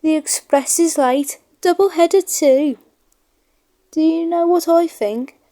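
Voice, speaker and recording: A woman's voice: very high voice, slow pace, mellow, no room sound, studio quality, pitched above the performer's own voice. A woman voicing an eager, know-it-all little boy watching trains in a children's storybook. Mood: excited, knowing.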